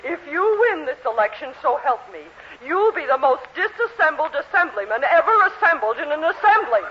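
Domineering matron, animated old radio voice. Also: female voice, high voice, fairly quick. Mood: threatening. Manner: imperious, emphatic. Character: stern, domineering middle-aged matron running for election. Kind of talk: 1940s radio sitcom acting. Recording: muffled, noisy 1940s radio recording.

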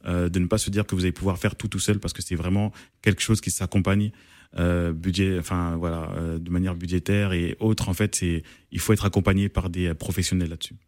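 A man with a very low voice, heard as a guest in a radio interview. He talks haltingly, full of fillers and restarts. The studio sound is dry and close. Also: level tone, darkish tone, no room sound. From France. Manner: hesitant, many fillers and restarts. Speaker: event organizer, guest.

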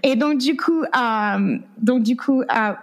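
A woman's voice: on the high side, slow. A woman in her thirties telling her own story in an interview, hesitant and full of fillers, with English words slipping in. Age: 30s. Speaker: guest sharing her story. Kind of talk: candid personal testimony.

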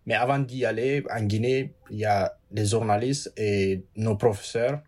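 A young man in his twenties from Guinea, a student and youth climate ambassador, speaking earnestly and a little haltingly. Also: fairly low pitch, darkish tone. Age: 20s. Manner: earnest, halting, with fillers and repeated words. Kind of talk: interview testimony.

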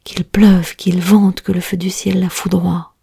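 A pack of envious young women plotting murder in a hissing hush, as a woman whispers them. The voice is husky and breathy, dark in tone, and the words come slowly. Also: lowish voice, flat delivery, no room sound, clean studio sound, heavily acted. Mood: scheming. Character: band of jealous, scheming young women.

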